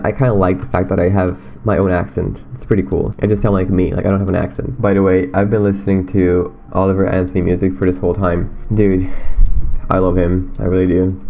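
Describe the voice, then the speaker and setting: A man's voice: lowish voice, warm tone. A young man from Romania with a slight Romanian accent, rambling in a casual, self-deprecating way on his solo podcast. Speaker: podcast host.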